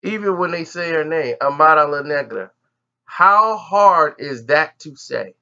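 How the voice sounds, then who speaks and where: Bright-voiced indignant male commentator. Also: on the high side, expressive, a little slow, highs cut off. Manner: indignant, emphatic. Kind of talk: reaction commentary.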